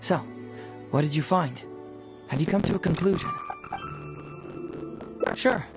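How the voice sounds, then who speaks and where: Breezy young detective. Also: male voice, on the high side, measured pace, music in the background. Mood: confident, instructive. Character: youthful male detective, confident, playful and instructive. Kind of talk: video game voice acting.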